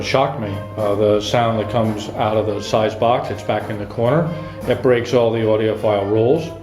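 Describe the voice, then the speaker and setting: A man interviewing and giving an unscripted review, speaking admiringly in deliberate, halting short phrases. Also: mid-range voice. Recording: roomy echo with music behind.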